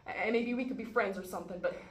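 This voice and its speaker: A woman playing a guarded, exasperated sister in a stage scene, halting at times and sharp at others. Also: mid-range voice, lightly acted. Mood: disappointed. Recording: echoey room, faint background noise.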